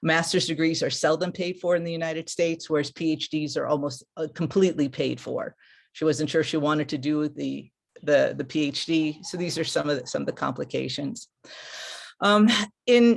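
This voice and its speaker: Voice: medium pitch, creaky. A middle-aged speaker giving a candid, unscripted webinar talk as a panelist who evaluates international course credit, with frequent restarts and fillers, over a video call.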